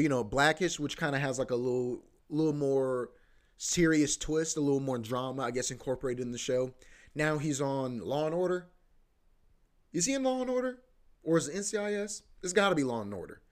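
A young man hosting a solo podcast, rambling in a laid-back slangy way and saying "bro" and "you know what I mean" all the time. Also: mid-range voice. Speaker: podcast host; comedy show host.

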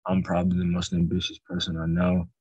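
Very deep-voiced teenage boy. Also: quick pace, breathy edge, no room sound, highs cut off. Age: teens. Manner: relaxed, rambling storytelling. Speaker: student. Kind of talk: casual group conversation.

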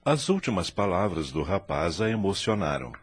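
Deep, husky male narrator. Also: big pitch swings, moderate pace. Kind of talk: radio drama narration.

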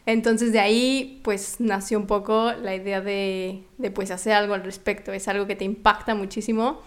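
A woman's voice: medium pitch, flat delivery, moderate pace. A woman from Mexico with a Mexican accent, explaining things casually as an interview guest. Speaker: interview guest, founder of a volunteer project.

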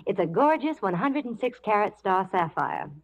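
A woman's voice: medium pitch, expressive, normal speed, slight background noise. A woman presenting scripted 1940s radio advertising patter with a partner. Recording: muffled 1940s radio recording.

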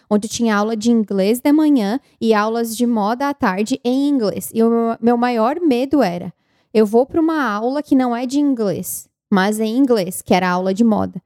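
A woman with a fairly high voice talks in a relaxed, reflective, chatty way. Her voice sounds close and dry, with hardly any room around it. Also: dry recording. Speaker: guest answering viewer questions. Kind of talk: casual conversation.

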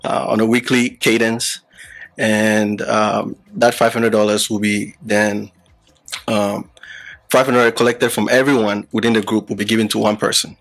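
A man's voice: fairly low pitch, little pitch movement, a little slow, fairly bright tone. A man who grew up partly in Ghana, a podcast guest explaining things casually with many fillers and restarts. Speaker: podcast guest, app founder. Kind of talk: casual explanatory interview talk.

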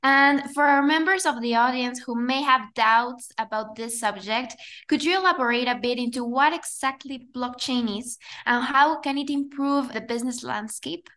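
A woman's voice: high-pitched, normal speed, bright, forceful tone. A woman hosting a podcast, reading a scripted introduction and asking interview questions in a formal, polite way. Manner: formal, polite, read-aloud delivery. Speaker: podcast host.

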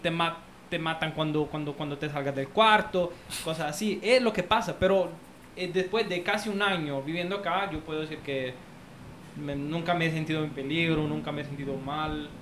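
A young man with a fairly high voice talks slowly and thoughtfully, often pausing and restarting. A faint hiss sits under the recording. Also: fairly bright tone. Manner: hesitant, thoughtful, uses vos. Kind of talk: casual chat. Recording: faint background noise.

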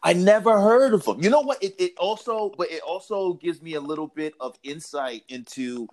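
A man hosting a casual chat, reacting quickly and recalling a show they did together. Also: high-pitched, a little mellow. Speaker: podcast host.

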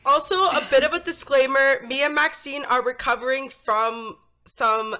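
A woman's voice: high-pitched, steady tone, moderate pace, pushed and bright. A woman co-hosting a podcast in casual chat, sounding stuffy and nasal from a cold, chatty and rambling. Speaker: podcast co-host.

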